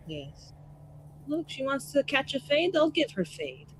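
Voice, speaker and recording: A woman's voice: high-pitched, big pitch swings, measured pace, slight background noise. A woman chatting casually in character as a player in a tabletop game, playfully dramatic.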